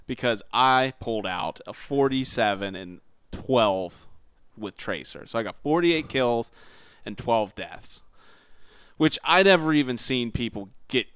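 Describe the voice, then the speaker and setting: A man's voice: mid-range voice, lively intonation, measured pace, a bit bright, clean tone, no echo. A man co-hosting a podcast with casual gaming banter, full of swearing and joking trash talk. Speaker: podcast co-host.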